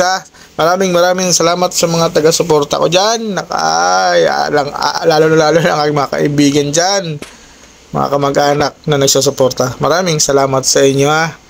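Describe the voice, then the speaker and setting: A man with a slightly high voice talks casually as a motovlogger. He is chatty and keeps turning to his listeners with short tag questions, as if talking with friends. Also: slight background noise. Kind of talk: casual vlog chat to viewers. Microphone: old cellphone, no intercom.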